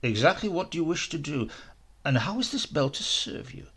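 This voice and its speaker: A man voicing a curious, excitable doctor in a horror audiobook, inquisitive and musing in his delivery. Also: on the high side, normal speed, husky, heavily acted. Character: curious, excitable male Italian doctor, the professor's friend.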